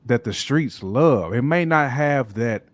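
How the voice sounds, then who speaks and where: A man's voice: mid-range voice, expressive, measured pace, no echo. A man chatting casually as a hip hop podcast panelist, slangy and emphatic in the way he makes his points.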